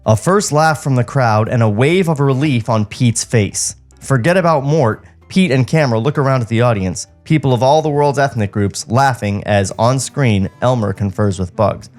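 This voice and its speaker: A man's voice: medium pitch, normal speed, hardly any pauses, darkish tone, soft background music. A man hosting a podcast, reading script directions aloud and commenting casually on them in a comedic table read.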